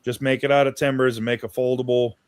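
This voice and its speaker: A man's voice: medium pitch, flat delivery, fast talker, a little hiss or hum. A man from Maine telling stories in a casual livestream chat, easygoing and folksy. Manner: easygoing storyteller, folksy asides. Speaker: guest.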